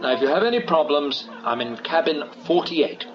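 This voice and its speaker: An actor playing an affable, fussy ship's doctor in a radio comedy. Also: male voice, a little high, lively intonation, normal speed, close to an everyday voice. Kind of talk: radio comedy drama acting. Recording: muffled radio play, loud music behind.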